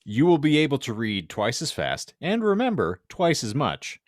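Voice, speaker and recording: A man's voice: mid-range voice, huge pitch swings, normal speed, dry recording. A man co-hosting a podcast with dry, teasing banter in a casual style. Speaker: podcast co-host. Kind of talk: casual banter and reading aloud a hypothetical.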